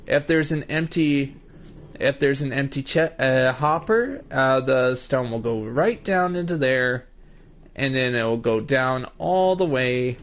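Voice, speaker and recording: A man's voice: medium pitch, expressive, slow. A man in his twenties giving casual commentary while streaming a building game, explaining each step and talking to chat viewers by name. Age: 20s. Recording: muffled lo-fi stream mic.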